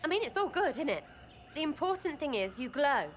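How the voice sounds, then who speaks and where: An actress playing a cheeky, irreverent lowborn woman archer who talks in crude, mocking, rambling banter. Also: big pitch swings, brisk, slight background noise.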